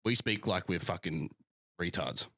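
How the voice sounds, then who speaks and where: A man's voice: sits low, unhurried, a bit bright, no room sound, clean studio sound. A young Australian man, a physique coach, chatting casually and swearing freely on a podcast. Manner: laid-back, sweary, rambling.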